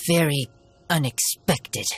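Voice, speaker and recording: An actor voicing a shy feral teenage wolf boy in a video game, speaking in halting, simple fragments. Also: medium pitch, faint music behind, natural voice. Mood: sheepish.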